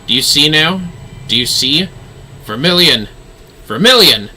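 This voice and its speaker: A man's voice: on the high side, expressive, a little slow, bright, forceful tone, slight background noise, voice pushed up, full character voice, poor audio. A man voicing an impassioned fantasy game character, reading dialogue in an urgent, declamatory and commanding way. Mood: urgent, anguished.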